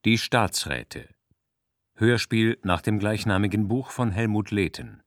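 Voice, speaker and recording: A man reading out the closing credits of a radio play in a formal, even way. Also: lowish voice, unhurried, dry recording, clean studio sound. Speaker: credits announcer. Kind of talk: scripted announcement.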